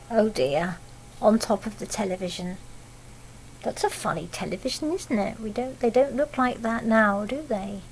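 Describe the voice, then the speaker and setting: British female storyteller, higher-pitched, unhurried, teacherly, explaining things simply for small children; some background noise. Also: darkish tone, low-quality recording. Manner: simple child-directed talk with tag questions. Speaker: primary school teacher reading a picture book aloud.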